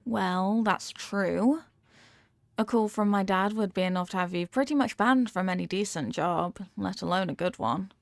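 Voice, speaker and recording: A woman's voice: mid-range voice, normal speed, dry recording, clean studio sound, lightly acted. Woman playing a possessive, condescending rich girlfriend. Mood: cool, defensive. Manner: condescending, teasing, coaxing with veiled threats. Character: possessive, manipulative rich young girlfriend, sweetly menacing. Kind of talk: scripted intimate audio roleplay.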